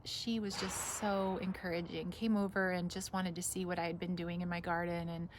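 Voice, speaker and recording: A woman's voice: on the low side, level tone, moderate pace. Enthusiastic woman vlogging outdoors, noisy ambience. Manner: enthusiastic, gushing. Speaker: garden vlog host. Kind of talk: casual rambling vlog chat. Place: outdoors in a home garden.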